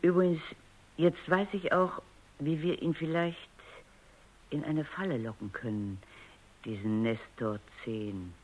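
An actress playing a stern, coolly analytical robot psychologist in a radio drama, precise, commanding and didactic. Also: female voice, low-pitched, fairly even intonation, a little slow, long pauses. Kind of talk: German radio drama acting. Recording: muffled, dull radio drama recording.

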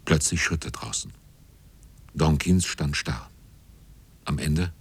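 An actor narrating a radio drama as a grave seaman, with a husky, often hushed delivery. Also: a bass voice, animated, takes it slowly, exaggerated voice. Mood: hushed, tense.

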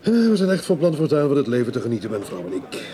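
A man's voice: mid-range voice, big pitch swings, quick pace, a crowd in the background, natural voice. An actor playing a middle-aged, fussy but affable male client in a radio drama, hesitant and politely insistent. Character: fussy, worried older male client, affable family man.

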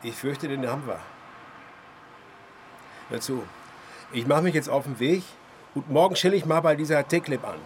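A man's voice: medium pitch, moderate pace, pauses often, slight background noise, lightly acted. An actor playing a restless, sardonic down-on-his-luck man in a radio drama, colloquial and insistent in a naturalistic style. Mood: urgent, wry. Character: restless, sardonic down-on-his-luck amateur sleuth, wary of police. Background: faint background ambience.

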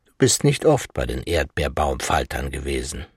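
Male audiobook reader, low and extremely raspy, for literary prose in a dry studio. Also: big pitch swings, moderate pace, no echo. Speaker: audiobook narrator. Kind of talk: literary second-person narration.

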